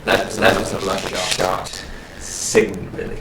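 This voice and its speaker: A man's voice: low voice, level tone, a little slow. A jokey, sweary man bantering casually as a player at a tabletop role-playing game. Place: echoey room, live-streamed game session. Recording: roomy, distant mic, noisy background.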